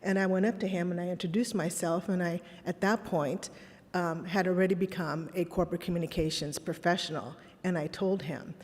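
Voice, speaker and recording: A woman's voice: on the low side, fairly even intonation, fairly quick, darkish tone. A middle-aged woman whose first language was Spanish, giving a candid, reflective keynote with personal stories and rhetorical questions. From Texas border town, raised in Los Angeles. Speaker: conference speaker. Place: conference hall. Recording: long reverb in a large hall.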